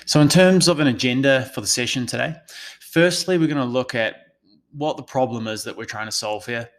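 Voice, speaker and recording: A man with a New Zealand accent giving a prepared webinar presentation as a consultant, in a measured, explanatory, professional manner. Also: medium pitch. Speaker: analytics consultant presenting a session.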